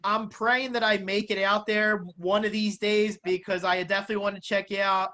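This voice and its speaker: A man with a Philadelphia accent hosting a casual interview, speaking informally and haltingly. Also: sits high, flat delivery, sharp tone. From Philadelphia, United States. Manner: halting, informal, often says like. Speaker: interviewer, podcast host.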